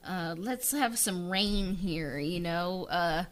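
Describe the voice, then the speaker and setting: A woman who wrote and directed an audio drama, chatting casually and haltingly about it. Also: on the low side. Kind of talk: casual behind-the-scenes chat.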